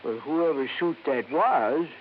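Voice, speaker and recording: A man's voice: fairly high pitch, takes it slowly. An actor playing a sly, murderous man with a drawling, evasive manner in an old radio detective drama. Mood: wary, probing. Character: sly murderous man faking confinement to a wheelchair. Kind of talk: 1950s radio drama acting. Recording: dull lo-fi old radio, faint noise.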